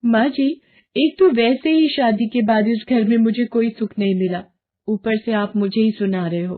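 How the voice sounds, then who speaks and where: A woman's voice: a little high, normal speed, natural voice. A woman voicing a greedy, complaining young wife and mother in a cartoon story. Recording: narrow phone-like sound.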